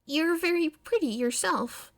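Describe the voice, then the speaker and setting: A woman plays an earnest, curious teenage girl from an audiobook. The voice sits high and lifts into direct, blunt questions, eager and open. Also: expressive, measured pace, a bit bright, full character voice. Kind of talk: audiobook character dialogue.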